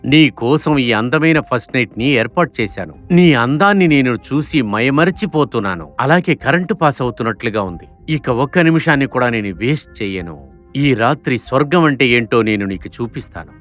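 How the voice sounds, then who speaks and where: A man's voice: mid-range voice, expressive, moderate pace, a bit bright, faint music behind, close to an everyday voice. Man as a menacing, lecherous moneylender villain. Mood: gloating, lustful. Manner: gloating, threatening.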